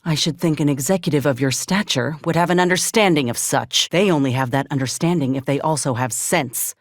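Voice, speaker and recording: A woman's voice: sits low, brisk, dry recording, studio quality. An actress playing a determined, scrappy soldier woman in a video game, with blunt, folksy frontier speech. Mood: wry, skeptical. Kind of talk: video game character dialogue.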